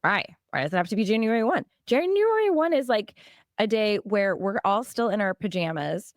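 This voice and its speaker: A woman in her late thirties, co-hosting a casual podcast chat, her voice hoarse from a recent strain, telling playful stories and laughing often. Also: medium pitch, sing-song, normal speed, no room sound, clean studio sound. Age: 30s. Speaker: podcast co-host.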